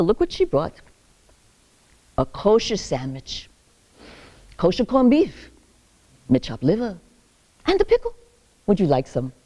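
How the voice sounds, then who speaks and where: Woman playing a wry old grandmother. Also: fairly low pitch. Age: older. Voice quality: sharp-tongued, scolding, chuckling asides. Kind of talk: theatrical monologue.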